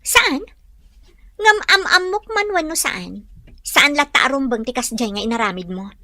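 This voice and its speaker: A principled young maiden with an Ilocano accent in a radio drama. Her high voice is bright and animated, firm and reproving, recorded close and dry. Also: normal speed, no echo, exaggerated voice. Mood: reproachful. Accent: Filipino, Ilocano. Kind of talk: Philippine radio drama acting.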